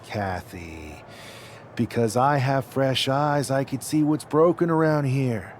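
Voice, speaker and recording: A man's voice: medium pitch, slow, faint music behind, lightly acted. A man playing an ambitious newcomer pro wrestler and scheming rebel in a video game cutscene, speaking earnestly and with emphasis. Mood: defiant, emphatic.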